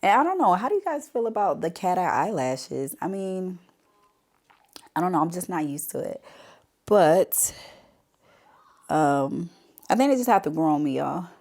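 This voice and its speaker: A woman's voice: on the low side, very animated, moderate pace, long pauses, a little mellow. A woman with a stuffy nose from a cold, chatting casually to viewers through a makeup tutorial. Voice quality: stuffy, congested. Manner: chatty, talks straight to viewers as "y'all". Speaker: beauty vlogger.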